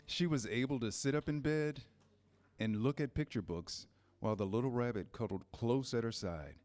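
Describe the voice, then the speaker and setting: A man narrating a scripted children's story in measured, pausing phrases. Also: mid-range voice, a little mellow. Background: music, guitar.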